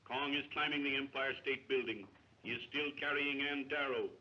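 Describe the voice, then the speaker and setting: An actor playing an official dispatcher in 1930s film style, giving terse, urgent announcements on a muffled old soundtrack. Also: male voice, mid-range voice, fairly even intonation, moderate pace, pushed and bright, far from the mic. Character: clipped official male radio dispatcher.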